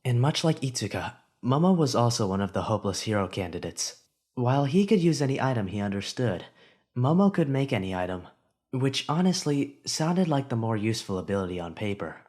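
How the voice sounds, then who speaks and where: A man reads a fan audiobook aloud at an ordinary mid pitch. His delivery is expressive, rising with the hero's inner thoughts. The reading is steady story narration. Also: a little fast.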